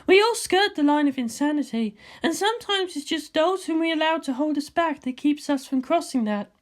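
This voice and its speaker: A man voicing a cool, sensible woman scientist with a measured, dryly chiding manner in a fan fiction reading. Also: very high voice, expressive, voice pushed up. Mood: earnest, advising.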